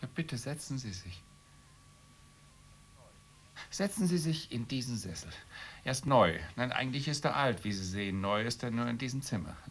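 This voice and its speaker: An actor playing an irritable, scornful middle-class husband in a radio play, sarcastic and emphatic. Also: male voice, mid-range voice, expressive, normal speed, long pauses, fairly bright tone. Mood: brusque, ironic.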